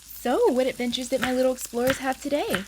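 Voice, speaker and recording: A woman acts a gentle, affectionate mother in a children's audio drama. Her voice is fairly high and she talks quickly and reassuringly. Clear background noise, with sizzling at times, sits behind her. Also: lively intonation. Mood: interested. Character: gentle, encouraging mother of young twin girls.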